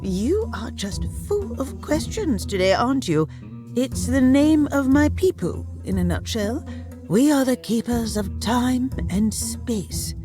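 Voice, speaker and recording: An eccentric time-travelling alien lady, acted by a woman in an audio drama. She is brisk, formal and patronising, with a highish voice that swings widely in pitch. Music plays behind her. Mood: patronising, explanatory. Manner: condescending, breezy, formal wording. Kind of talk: fan-made audio drama acting.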